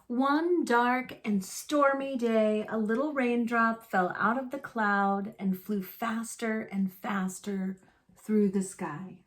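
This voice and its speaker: A woman reading a children's picture book aloud in a deliberate storytelling manner, phrased simply for young listeners. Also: on the high side, fairly even intonation. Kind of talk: children's read-aloud narration.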